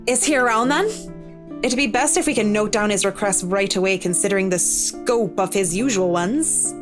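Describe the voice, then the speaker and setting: A woman's voice: mid-range voice, normal speed, music in the background, lightly acted. Woman acting a courteous, businesslike female designer. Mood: politely questioning. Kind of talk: visual novel voice acting.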